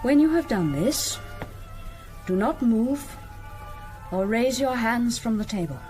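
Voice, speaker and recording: An actress playing a composed woman spirit medium in a British radio drama, giving formal, measured instructions. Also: a little high, expressive, a little mellow, soft background music. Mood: calm, commanding.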